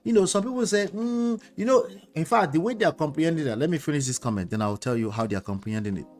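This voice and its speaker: A man's voice: a little high, lively intonation, quick pace, a little mellow, faint music behind. Emphatic Nigerian man commenting. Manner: emphatic, with frequent tag questions like "okay?" and "you know". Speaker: relationship coach. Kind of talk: casual commentary reading viewer comments.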